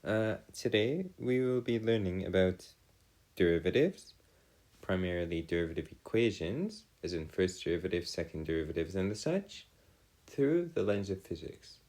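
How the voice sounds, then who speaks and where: A man giving a step-by-step lesson as a tutor, in formal, wordy phrasing with halting pauses and self-corrections. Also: deep voice, big pitch swings, a little mellow. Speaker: math and physics tutor. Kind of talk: explanatory lesson, worked step by step.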